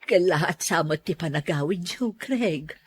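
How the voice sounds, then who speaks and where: A woman playing a frail, remorseful elderly mother in a radio drama, speaking haltingly and pleadingly in a husky voice. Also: on the low side, animated. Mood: meek, grateful. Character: frail, ailing elderly mother, meek, remorseful, self-effacing. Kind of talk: Ilocano radio drama acting.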